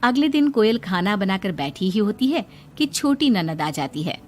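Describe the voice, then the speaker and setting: A woman's voice: medium pitch, sing-song, moderate pace, a little mellow. A woman reading a scripted moral story as its narrator.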